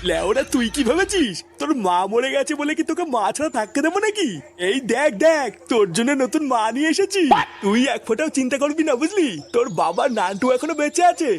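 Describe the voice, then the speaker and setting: A man's voice: very high voice, big pitch swings, fast talker, few pauses, full character voice. A man voicing a melodramatic, foul-mouthed, foolish cartoon father, blustering and exclamatory. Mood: gleeful, boastful. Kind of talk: comic cartoon dialogue. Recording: music clearly behind the voice.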